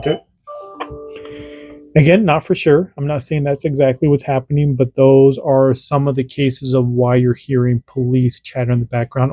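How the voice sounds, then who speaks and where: A man's voice: mid-range voice, warm tone. A man and parent, hosting a casual hands-on radio demo and explaining in an informal, rambling way that often restarts sentences. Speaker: radio hobbyist host.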